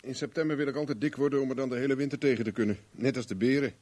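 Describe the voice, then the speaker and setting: A man's voice: medium pitch, steady tone, racing, darkish tone, natural voice. A man acting a wry, evasive private investigator in a Dutch radio drama, with a dry, bantering manner. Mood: wry, unhurried.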